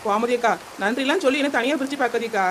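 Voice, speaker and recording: A woman playing a brave young village aunt who talks quickly and reassuringly in an amateur audio drama. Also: fairly high pitch, fairly bright tone, slight background noise, close to an everyday voice. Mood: warm. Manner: reassuring, brisk, fast-talking.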